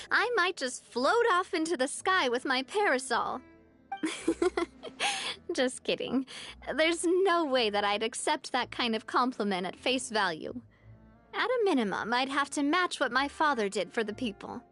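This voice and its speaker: A woman's voice: high voice, very animated, a little slow, fairly bright tone, soft background music, heavily acted. An actress voicing an upbeat young noblewoman who leads a guild, cheerful, modest and playfully self-deprecating in a video game. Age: young adult. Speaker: president of a guild. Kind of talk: game voice acting.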